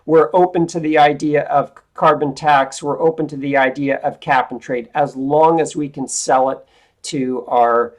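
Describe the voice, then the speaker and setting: Lady teacher on a video call playing an aide, straight-talking, lots of restarts, voice a bit low today. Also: fairly even intonation, measured pace, a little mellow. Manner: frank, informal, restarts often. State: voice lower than usual. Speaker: instructor playing a congressman's aide. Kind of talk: classroom role-play over a video call.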